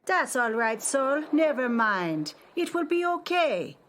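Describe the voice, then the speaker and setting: A kindly old lady star in a children's radio play, gently calming others with a softly lilting voice. Her pitch sits a bit high and moves freely. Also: takes it slowly, heavily acted. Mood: soothing. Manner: gentle, soothing, calm. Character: kind silver-haired old lady star.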